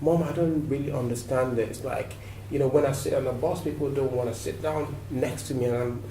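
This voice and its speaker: A man's voice: medium pitch, a little fast. Man from Nigeria, recorded in a roomy space. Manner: relaxed, reflective storytelling with fillers. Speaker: guest. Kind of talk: interview answers. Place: TV studio. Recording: roomy echo, mic at a distance, faint noise.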